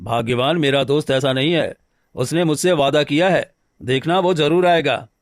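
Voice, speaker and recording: Man playing an earnest, higher-voiced cartoon woodcutter. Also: normal speed, no echo, studio quality, lightly acted. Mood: reassuring. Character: good-natured, eager married woodcutter, a simple villager. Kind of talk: Hindi cartoon story dialogue.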